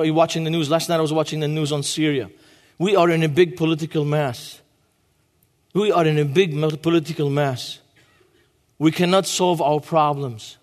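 Guest preacher, man in his 40s, high voice, long church reverb. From Lebanon. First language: Arabic. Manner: emphatic, repeats key phrases. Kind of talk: sermon.

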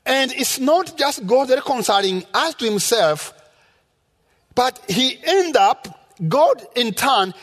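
Rwandan guy preaching, high voice that swings up and down a lot, emphatic teacher style. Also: sing-song, takes it slowly, a bit bright. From rural Rwanda. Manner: emphatic, teaching with repetition and rhetorical questions. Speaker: guest preacher. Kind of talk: sermon with personal testimony. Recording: reverberant hall sound.

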